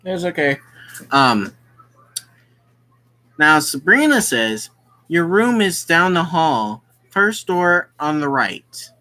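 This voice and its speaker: Halting, very slow-paced gamer reading aloud. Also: mid-range voice, big pitch swings, bright, forceful tone. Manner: halting, explains who is speaking as they read. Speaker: gaming video host. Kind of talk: reading a visual novel aloud with casual commentary.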